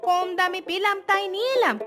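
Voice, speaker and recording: A high-pitched, nagging village housewife from a cartoon, played by a woman. She is thrifty yet soft-hearted and exclaims a lot, over clearly audible music. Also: a little fast. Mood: scolding. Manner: scolding, exclamatory. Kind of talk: cartoon voice acting.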